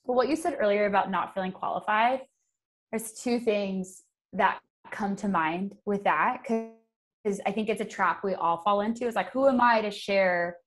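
A woman's voice: mid-range voice, moderate pace. A young American woman giving earnest, conversational interview answers as an activist guest, explaining her points and voicing imagined thoughts.

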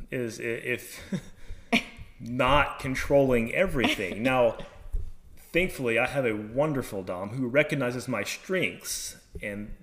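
A man's voice: medium pitch, fairly bright tone. A man in his thirties co-hosting a podcast, chatting casually and playfully, laughing often. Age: 30s. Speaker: podcast co-host. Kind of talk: casual chat.

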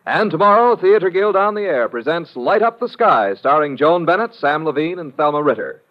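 A man's voice: on the high side, very animated, moderate pace. A man with an American accent reading scripted credits and promos as a 1950s radio announcer. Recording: muffled vintage radio recording, music behind.

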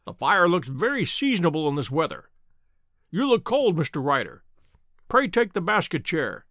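A man voicing a shrewd, self-assured gentleman detective in an audiobook, courteous and precise in manner. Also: on the high side, very animated, moderate pace, dry recording, studio quality. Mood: cheery. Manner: bland, courteous, confident, precise.

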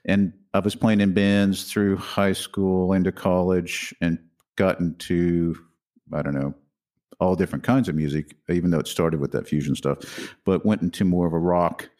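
A man who is the guitarist, singer and songwriter of a band, answering interview questions in a halting way with many fillers. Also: deep voice, steady tone, darkish tone. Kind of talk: casual interview talk.

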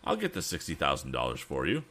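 A man voicing a bold, earnest young male inventor in a storybook, speaking in a quick, confident, ringing way. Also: very deep voice, wildly swooping pitch, bright, forceful tone, full character voice. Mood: determined. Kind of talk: audiobook character voice.

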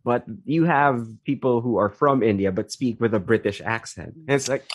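A Filipino man co-hosting a casual chat in English and Tagalog, telling stories and acting out bits of dialogue, with halting restarts. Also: medium pitch, dry recording, studio quality. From Philippines. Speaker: podcast co-host.

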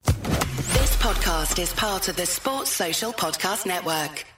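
A woman announcer reads a short network ident slowly and evenly, her voice set a little low. She sounds far from the mic in a roomy space, with loud music playing behind her. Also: expressive.

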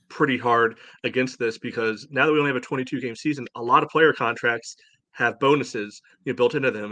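A man's voice: medium pitch, sharp tone, no echo. A man hosting a soccer podcast, giving blunt, indignant opinions and leaning often on "you know". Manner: frequent "you know" filler. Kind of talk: opinionated sports podcast discussion.

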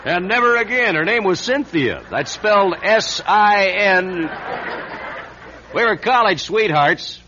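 A vain American talk-show star with showy, mock-sincere patter. His voice is bright and forceful, with lively swoops. The radio drama recording is a little dull. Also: sits high, measured pace, some chatter behind, slight background noise, full character voice. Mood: brash, joking. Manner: showy, glib, mock-sincere, stammering asides.

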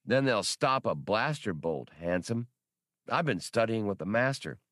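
A man voicing a spirited, quick-witted woman traveler with a playful, teasing manner in an audiobook. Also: on the low side, sing-song, a bit bright, no echo, clean studio sound.

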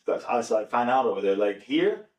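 A man from Venezuela with a Venezuelan accent, a native Spanish speaker, talking casually and informally in an animated way. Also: mid-range voice, normal speed. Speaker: podcast participant. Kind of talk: casual conversation. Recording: echoey room, distant mic.

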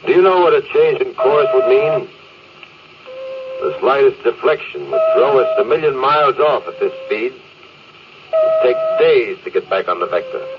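An actor playing a stubborn, domineering spaceship captain in a brusque, commanding radio-drama style. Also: male voice, medium pitch, wildly swooping pitch, unhurried. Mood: irritated, insistent. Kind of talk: old-time radio drama acting. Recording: muffled, very noisy old radio recording.

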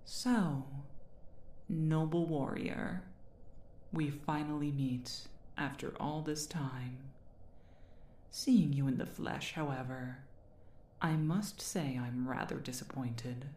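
A woman voicing a haughty demon queen in an ASMR roleplay, imperious and condescending, teasing and menacing her captive in drawn-out, theatrical phrases. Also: sits low, a little mellow, roomy sound. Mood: mocking disdain.

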